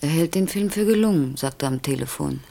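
A woman playing a brisk, down-to-earth partner at home in a naturalistic radio drama, practical and a little impatient. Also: low-pitched, lively intonation, creaky, warm tone, full character voice. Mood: concerned, indignant.

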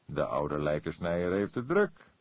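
A man voicing a calm, wry older male police inspector in an audiobook, with a measured, dryly ironic delivery. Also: sits low, no room sound, heavily acted. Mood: dry, casual.

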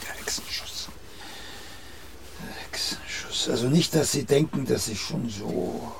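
Gravelly, imperious aging playwright. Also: male voice, medium pitch, in a whisper. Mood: grumbling. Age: older. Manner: haughty, commanding, muttering with pauses. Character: vain, imperious aging celebrated playwright, testy and self-absorbed. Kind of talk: German radio play acting.

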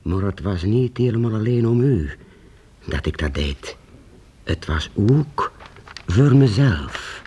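An actor playing a weary, earnest old master painter in a Dutch radio play, speaking haltingly with repeated words and archaic formal address. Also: male voice, lowish voice, expressive, dark tone, slight background noise. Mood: confiding, rueful. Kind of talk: Dutch radio drama acting.

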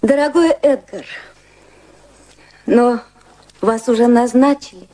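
An actress playing a candid, proud younger society woman with a romantic streak in a radio play, speaking in a formal, frank, measured way. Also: fairly high pitch, warm tone. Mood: frank, gentle.

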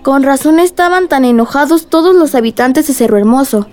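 High-voiced, curious young girl. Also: animated, brisk, darkish tone, soft background music. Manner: inquisitive, earnest. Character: curious, innocent young girl asking questions. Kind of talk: radio drama acting.

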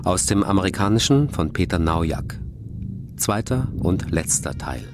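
A man's voice: low voice, expressive, moderate pace, background noise. A man reading scripted credits as the announcer of a radio play. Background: music.

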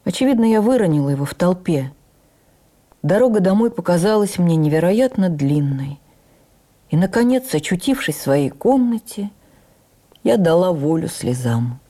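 A woman reading a first-person memoir as a radio drama narrator, with expressive, measured storytelling. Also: fairly low pitch. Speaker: narrator, actress.

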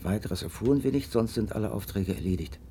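An actor playing an earnest, puzzled companion to a detective in a radio drama, questioning and deliberate. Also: male voice, fairly low pitch, lively intonation, warm tone, a little hiss or hum, lightly acted. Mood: puzzled, curious.

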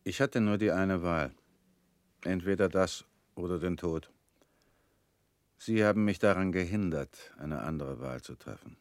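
An actor in a radio drama playing a weary middle-aged family man, guarded and resigned, talking in flat, curt lines that often sink quiet. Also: low voice, unhurried, long pauses, no room sound.

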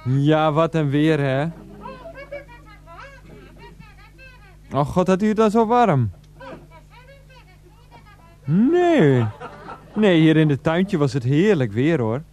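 A man acting an exasperated, defensive grown son on the phone to his mother in a naturalistic radio comedy drama, halting and repeating himself to placate her. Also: fairly high pitch, big pitch swings, darkish tone. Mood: chatty, placating.

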